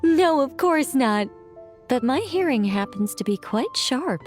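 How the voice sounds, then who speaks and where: A composed, hospitable witch with a high voice. She speaks in a polite, precise, slightly prim way, as in a video game, over faint music. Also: lively intonation, a little slow, darkish tone. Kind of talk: video game cutscene acting.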